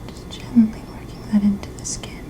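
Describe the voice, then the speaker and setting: A woman speaking in a breathy whisper, gently and politely explaining each step as a makeup artist in an ASMR role-play. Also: moderate pace. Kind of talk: ASMR role-play, personal-attention beauty treatment.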